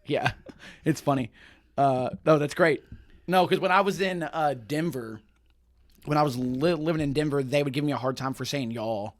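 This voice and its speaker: A man's voice: a little high, moderate pace. Chatty podcast host, Texan man. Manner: chatty, laughs often, storytelling. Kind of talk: casual podcast chat.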